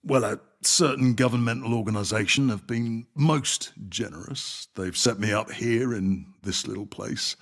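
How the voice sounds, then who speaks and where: A man as a husky, deferential publican. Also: mid-range voice, natural voice. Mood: deferential, sly. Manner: slow, folksy, deferential. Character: deferential, wary former tramp turned publican. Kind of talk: audio drama acting.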